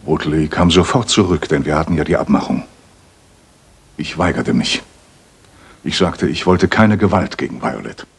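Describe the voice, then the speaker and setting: Deep, very raspy remorseful man. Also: full character voice. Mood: subdued. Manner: halting, earnest. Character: remorseful lovelorn middle-aged father confessing his guilt. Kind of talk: radio play acting.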